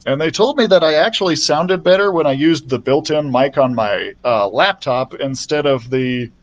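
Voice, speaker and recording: A man's voice: fairly high pitch, normal speed, lo-fi sound. A man reading a children's book aloud as a librarian storyteller, friendly and chatty, into a built-in laptop mic.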